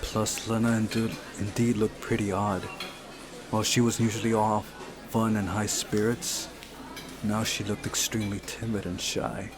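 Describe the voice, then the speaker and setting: A man's voice: on the low side, level tone, normal speed, a crowd in the background. A man reading a visual novel story aloud as narration, sometimes going back over a phrase. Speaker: visual novel player narrating and voicing the game's text.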